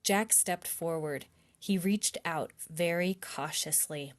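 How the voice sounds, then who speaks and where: A woman reading a children's chapter book aloud in an expressive storytime style. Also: fairly low pitch. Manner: slow, clear, animated storybook delivery.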